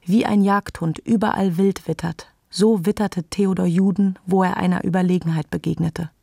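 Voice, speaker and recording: Knarrende Frauenstimme, trocken aufgenommen, erzählend. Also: female voice, fairly low pitch, steady tone, moderate pace, vocal fry, warm tone, dry recording, clean studio sound. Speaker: radio play narrator. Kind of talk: literary scripted narration.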